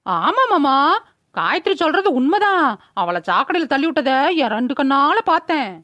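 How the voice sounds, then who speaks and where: A woman's voice: high voice, sing-song, normal speed, pushed and bright, no echo, full character voice. A woman voicing a cheeky, theatrical young village woman in a comedy cartoon, with speech and a sassy manner. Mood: smug, teasing.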